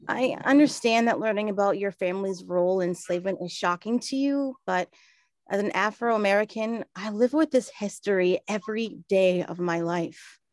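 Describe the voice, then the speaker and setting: A woman playing an earnest, composed scholar in a radio play, speaking in a measured, instructive way. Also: medium pitch, dry recording, lightly acted.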